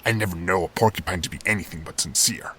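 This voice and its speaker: Guy doing older animal uncle for a fandub drama, really deep n intense, earnest hopeful mentor type, bit of hiss. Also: fast, fairly bright tone. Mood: patient, sincere.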